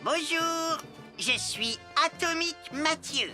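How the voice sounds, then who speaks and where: A woman voicing a keen, earnest little boy playing superhero in a French cartoon dub, announcing crisply. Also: high-pitched, expressive, slow, music in the background. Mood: proud.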